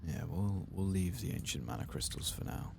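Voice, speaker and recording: Very deep, gravelly, soft-spoken man. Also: expressive, normal speed. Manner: hushed, laid-back, casual. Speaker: ASMR gaming host. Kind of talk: soft-spoken and whispered ASMR game commentary. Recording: clear background noise and game ambience.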